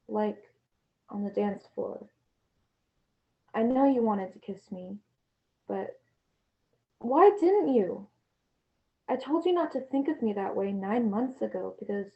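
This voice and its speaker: A young woman playing a frustrated but tender teenage girl, pleading earnestly in a halting stage monologue. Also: a little high, mellow. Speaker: drama student performing a monologue. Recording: dull, lo-fi room recording.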